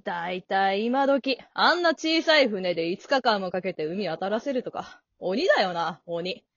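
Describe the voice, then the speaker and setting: A woman playing a cocky grown-up male monkey who talks in rough, flippant slang in an amateur comedy radio drama. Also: medium pitch, animated, a little slow, fairly bright tone, no room sound. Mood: flippant, dismissive. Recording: dull lo-fi audio.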